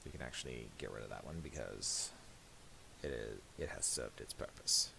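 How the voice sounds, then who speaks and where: A man giving a casual software tutorial, speaking haltingly and restarting his phrases as he explains each step. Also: a bass voice, gravelly, a little hiss or hum. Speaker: tutorial presenter.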